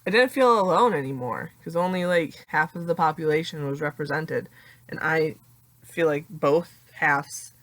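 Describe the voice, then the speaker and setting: Young woman, very low voice, dry close sound, rambling casual vlog talk, lots of "like". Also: normal speed, dry recording, rough recording. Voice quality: deeper voice. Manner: chatty, many "like" fillers, laughs often. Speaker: vlogger.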